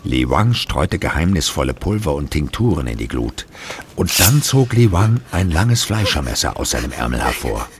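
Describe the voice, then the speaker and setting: Grave horror storyteller, exceptionally low voice, solemn suspenseful scripted narration. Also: male voice, big pitch swings, moderate pace, noisy background, heavily acted. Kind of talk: scripted narration of a German horror audio drama.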